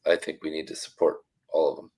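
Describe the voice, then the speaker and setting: A man's voice: a bass voice, level tone, fast talker, a little mellow, husky. A man co-hosting a podcast, talking in an easygoing, agreeable way. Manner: easygoing, agreeable, "you know" fillers. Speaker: podcast co-host. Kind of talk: casual podcast chat.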